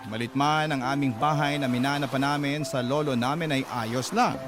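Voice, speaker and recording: A man's voice: medium pitch, normal speed, noisy background, close to an everyday voice. A man narrating a radio drama as a young woman looking back wistfully on her family. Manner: wistful, reflective.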